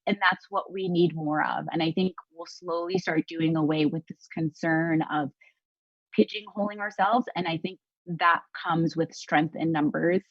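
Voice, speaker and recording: A woman with a lowish voice speaks earnestly on a panel, reflective and searching, often pausing on "um" and restarting her thoughts. The sound is dull and lo-fi, very dry, as if coming over a video call. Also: steady tone, no room sound. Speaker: journalist. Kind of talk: panel discussion on a live stream.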